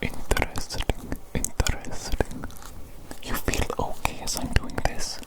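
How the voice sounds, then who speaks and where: A woman voicing a doting evil doctor in an ASMR medical roleplay, in a breathy, unvoiced whisper, soothingly repeating short phrases. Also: unhurried, close to an everyday voice. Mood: soft, attentive. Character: doting evil doctor examining the creature they created. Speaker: ASMR performer.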